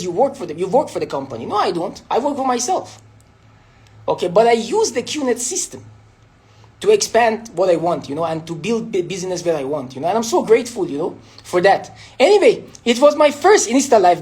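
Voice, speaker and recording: A woman's voice: on the high side, darkish tone. A woman with a Persian accent, an entrepreneur from Iran giving a casual motivational talk on a live stream, rambling and filling every phrase with "you know".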